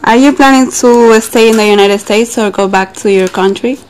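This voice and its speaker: A woman's voice: fairly high pitch, normal speed. A woman interviewer reading polite, scripted questions.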